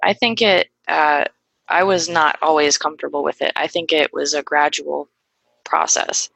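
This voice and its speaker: Young woman, podcast guest, deep gravelly voice, halting speech, many fillers. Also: steady tone, a bit bright, no echo. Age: young adult. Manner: hesitant, many fillers and restarts. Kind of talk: casual conversational interview.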